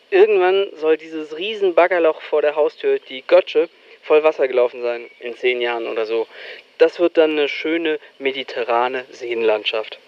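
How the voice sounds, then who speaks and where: A man playing a disillusioned, sardonic young East German in a radio drama, speaking his monologue in a wry, deadpan way. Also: a little high, dull audio, lightly acted. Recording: noisy amateur tape-style recording, limited band.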